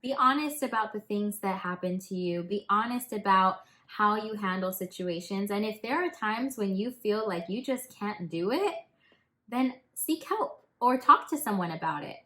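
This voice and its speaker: A woman speaking as a teacher to children, in an expressive storytelling style with emphatic, deliberate phrasing. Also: mid-range voice. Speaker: teacher reading stories to children.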